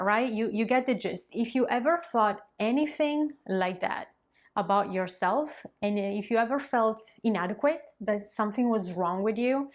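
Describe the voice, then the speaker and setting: Woman, 30s, language coach; friendly encouraging delivery, medium pitch. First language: Italian. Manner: warm, encouraging, conversational with occasional fillers. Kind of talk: direct-to-camera coaching talk.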